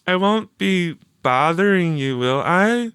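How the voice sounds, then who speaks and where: A man voicing a sweet, earnest seventh-grade girl in a story, with a light, gently drawn-out delivery. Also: sits high, expressive, smooth, no room sound, clean studio sound, voice pushed up, heavily acted. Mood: friendly. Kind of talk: audiobook character voice.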